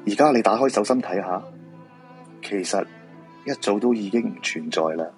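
A man's voice: lowish voice, moderate pace, soft background music, close to an everyday voice. A man with a Hong Kong Cantonese accent acting a weary, persuasive feng shui master in a supernatural radio drama. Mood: sober, persuading.

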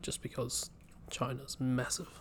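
A man's voice: medium pitch, monotone, measured pace, a bit bright. A man with a mid-range voice chats casually as a podcast co-host. There is faint noise in the background of his recording. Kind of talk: casual chat.

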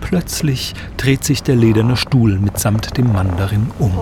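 A man's voice: lowish voice, a little slow. Male audio-play narrator over noise. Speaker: narrator and sound designer.

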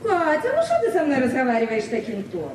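A woman's voice: sits high, huge pitch swings, fast. An actress playing a needy, meddling, self-important woman who wheedles and lectures in a Soviet radio drama. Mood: prying, touchy. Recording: noisy, distant, echoey old radio recording.

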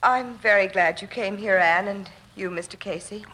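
A woman's voice: mid-range voice, moderate pace, a bit bright, breathy edge, rough recording. An actress playing a scheming, determined woman in a 1940s radio drama, speaking precisely and melodramatically. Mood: feigned composure. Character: scheming, determined woman feigning grief, threatening at gunpoint.

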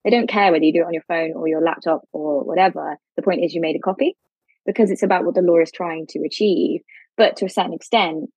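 Husky woman on a muffled recording. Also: lowish voice, a little mellow, no room sound. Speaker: legal expert guest. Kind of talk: informal conversational explanation.

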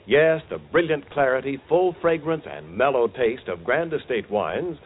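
A man announcing and selling as a 1940s radio sponsor pitchman, with polished, emphatic delivery. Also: sing-song, normal speed, breathy edge. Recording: tinny, hissy vintage radio broadcast.

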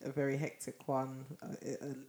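Hoarse low-voiced woman podcaster. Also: normal speed, reverberant. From Nigeria. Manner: laughs often, animated. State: losing her voice. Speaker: podcast co-host. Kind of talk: casual chat.

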